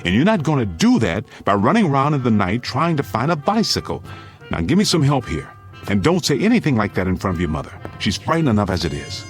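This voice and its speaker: An American man playing an anxious but steady father in a radio drama, speaking in a firm, earnest, commanding way. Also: mid-range voice, fast talker, soft background music. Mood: stern. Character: anxious but steady father preparing family for nuclear attack.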